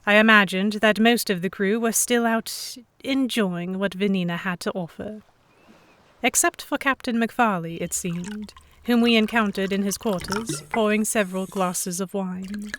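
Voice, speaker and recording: A woman's voice: medium pitch, steady tone, unhurried, fairly bright tone, a little hiss or hum. Woman narrating a dramatic story. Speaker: narrator and lead character of an audio drama. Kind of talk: scripted first-person story narration.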